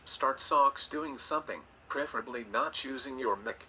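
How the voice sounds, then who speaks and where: Bright synthetic male screen reader voice. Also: medium pitch, moderate pace, low-quality recording. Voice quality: synthetic, evenly enunciated. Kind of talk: synthesized text-to-speech readout. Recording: computer speaker captured with background noise.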